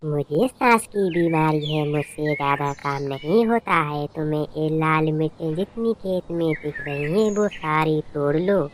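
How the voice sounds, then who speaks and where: A woman's voice: low voice, measured pace, few pauses, a little hiss or hum. A woman voicing a stingy, bossy middle-aged mother-in-law bird in a cartoon, curt and commanding. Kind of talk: Hindi cartoon dubbing.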